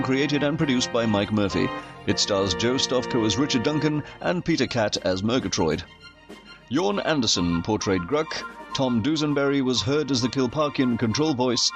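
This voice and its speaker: A man narrating in a scripted announcer style, reading credits for an audio production. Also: medium pitch. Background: music.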